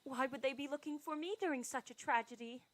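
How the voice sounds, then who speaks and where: A woman voicing a genteel, dramatic young lady suspected of murder in an animated mystery parody. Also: sits high, fast talker, natural voice. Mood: shocked, distraught. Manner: genteel, melodramatic, pleading.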